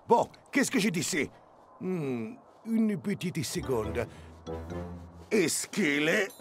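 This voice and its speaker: A man playing a stern, principled coach in an animated cartoon, with emphatic delivery. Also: fairly high pitch, takes it slowly, faint music behind, full character voice. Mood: hesitant.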